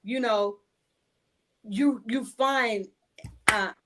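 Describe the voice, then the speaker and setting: A woman's voice: fairly high pitch, very slow, noisy background. Earnest woman talking from the heart. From Illinois. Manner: earnest, repeats herself, restarts often. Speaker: guest. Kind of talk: casual talk show chat.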